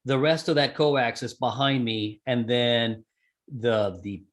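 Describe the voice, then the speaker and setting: A man's voice: mid-range voice, fairly even intonation, slow pace. A man being interviewed, explaining a technical procedure step by step in a conversational way and correcting himself as he goes. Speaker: interview guest, amateur radio operator and skydiver.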